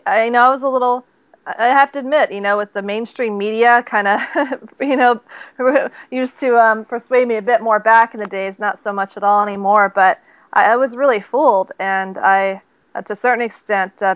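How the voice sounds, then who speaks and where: A woman's voice: medium pitch, a bit bright, clean tone, no echo, lo-fi sound. A woman hosting an interview show, talking in a chatty way with frequent restarts. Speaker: host.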